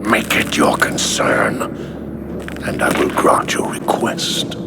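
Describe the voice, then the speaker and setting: A man's voice: heavy background noise, lightly acted. An actor playing an ancient, imperious king of the dead in a video game, haughty, bitter and menacing. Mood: commanding. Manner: slow, haughty, commanding. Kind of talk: dramatic video game acting. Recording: loud background music.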